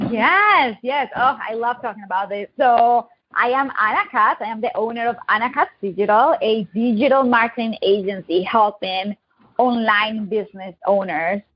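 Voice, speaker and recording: A woman in her thirties from Colombia with a Colombian accent, telling her story as a podcast guest in a quick, chatty, upbeat way. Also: on the high side, dull audio. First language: Spanish. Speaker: guest, digital marketing agency owner. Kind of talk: casual interview storytelling.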